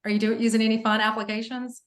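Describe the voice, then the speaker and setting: A woman's voice: fairly high pitch, flat delivery, fast, echoey room. A woman co-hosting an interview over a video call, asking brief, friendly questions. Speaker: co-host. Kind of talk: casual interview chat.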